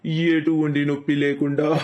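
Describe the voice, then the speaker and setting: A man playing a cruel, greedy brother-in-law in a cartoon story, mocking and slowly menacing as he gloats. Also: fairly high pitch, darkish tone, dull audio. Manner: mocking, slow drawn-out menace. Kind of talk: animated moral story acting.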